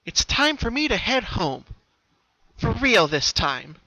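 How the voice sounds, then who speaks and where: A man voicing a brave, cheerful young female pony with gentle resolve in a dramatic story reading. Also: high voice, very animated, slow pace, dry recording, voice pushed up, exaggerated voice. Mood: relieved, resolute. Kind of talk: dramatic fan-fiction reading. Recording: dull lo-fi recording, background noise.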